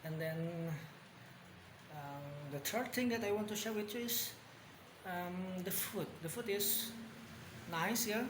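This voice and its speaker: Young Indonesian-accented man, casual vlogger, slow and halting, recorded in a roomy, noisy space. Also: sits high. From Bandung, West Java, Indonesia. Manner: hesitant, many ums and pauses. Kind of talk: casual spoken vlog. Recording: echoey room with background noise.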